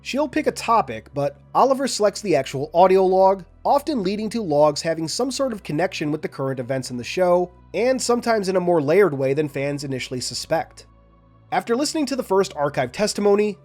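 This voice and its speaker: A man hosting a lore video, narrating and analyzing in a measured, explanatory way. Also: fairly high pitch, a little mellow.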